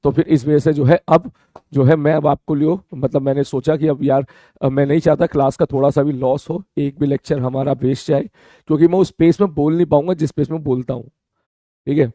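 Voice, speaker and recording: A man teaching an online class with a sore, hoarse throat, chatting casually with his students and often checking they follow. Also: on the high side, fairly even intonation, a little fast, warm tone, no echo. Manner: chatty, repeats "theek hai" often, calls students beta.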